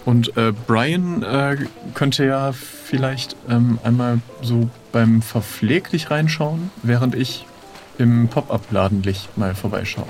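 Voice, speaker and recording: A man plays an improvised adventure game in casual dialogue. His voice is middle in pitch with plenty of fry, and he hesitates as he thinks aloud. Faint music sits behind him. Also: slow. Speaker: player in an improvised role-playing game.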